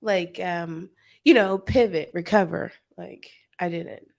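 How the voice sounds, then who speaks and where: Slow, gravelly-voiced woman podcaster. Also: fairly low pitch. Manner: candid, soft-spoken, laughs often. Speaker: podcast co-host. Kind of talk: casual podcast chat.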